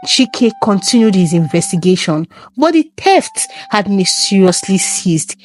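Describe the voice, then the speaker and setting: Female narrator, scripted folktale storytelling, lively varied intonation. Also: mid-range voice, unhurried.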